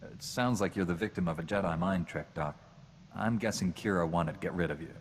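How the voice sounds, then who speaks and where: An actor playing an earnest male Jedi knight in a video game, speaking calmly and reprovingly. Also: low-pitched, in a large hall, a little hiss or hum, natural voice. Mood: stern. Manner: calm, reproving, measured. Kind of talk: video game character dialogue.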